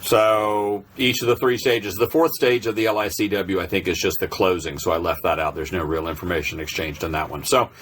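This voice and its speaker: A man's voice: low-pitched, fairly even intonation, a little fast, hardly any pauses, background noise. A man narrating an informal, unscripted tutorial, explaining step by step in a hesitant way. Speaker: presenter of a software tutorial.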